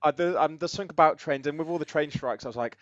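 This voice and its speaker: A man with a slightly croaky voice, chatting in a relaxed, easygoing way as a podcast guest. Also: fairly high pitch, very fast, no echo, muffled recording. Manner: relaxed, easygoing, frequent ums. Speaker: guest, technical SEO lead. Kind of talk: casual interview chat.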